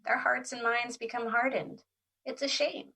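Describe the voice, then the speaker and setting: A woman plays a tender, genteel spirit of emotion in an audio drama. Her voice sits high, and she phrases things in a precious, ladylike way. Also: steady tone, normal speed, vocal fry, pushed and bright, husky, exaggerated voice. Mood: regretful. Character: genteel, sorrowful, tender personified positive emotion.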